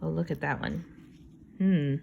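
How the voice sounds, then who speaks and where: Slow-paced woman teacher reading to young children. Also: low-pitched, creaky, darkish tone, lo-fi sound, slight background noise. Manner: slow deliberate reading, chatty asides with wondering exclamations. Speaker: kindergarten teacher reading aloud. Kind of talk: children's read-aloud with teaching commentary.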